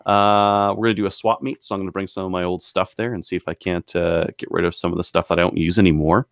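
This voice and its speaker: Very low-voiced man, casual podcast talk. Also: steady tone, quick pace, dry recording, clean studio sound. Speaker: podcast co-host. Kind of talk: casual hobby podcast chat.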